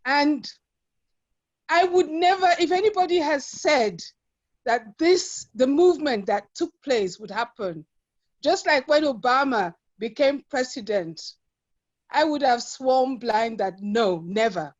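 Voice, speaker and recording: A woman's voice: high-pitched, animated, slow pace. A 69-year-old woman from London and West Africa, speaking earnestly and emphatically, repeating phrases as she reflects in an online discussion. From London-born, grew up in West Africa. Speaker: webinar participant. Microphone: video call. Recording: dull lo-fi video call audio.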